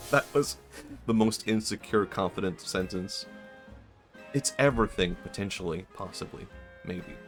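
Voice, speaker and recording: Young man, very low voice, hesitant rambling talk, stutters and restarts, self-mocking, faint music behind. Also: lively intonation, measured pace. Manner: self-mocking, laughs softly. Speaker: streamer. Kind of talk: casual live-stream chat.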